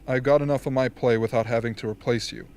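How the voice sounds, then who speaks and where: A man playing an authoritative, measured military strategist in an amateur radio drama. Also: mid-range voice, steady tone, darkish tone, clean tone, lightly acted. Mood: dry, commanding. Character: commanding, dryly formal rebel military strategist briefing soldiers.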